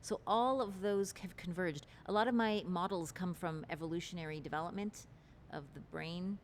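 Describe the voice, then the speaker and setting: A woman's voice: fairly low pitch, moderate pace, a little hiss or hum. A woman guest in an interview, talking about relationships in an articulate, expansive and earnest way. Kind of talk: interview conversation.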